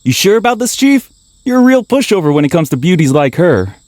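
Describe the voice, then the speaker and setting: A man's voice: sits high, very animated, a little fast, darkish tone, dry recording. A man playing a cheeky merchant underling who teases the boss, in an anime dub. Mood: teasing. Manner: teasing.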